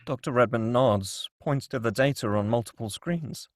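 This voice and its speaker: A man's voice: mid-range voice, moderate pace, dry recording, studio quality. A man reading screenplay action and scene directions as scripted narration at a table read.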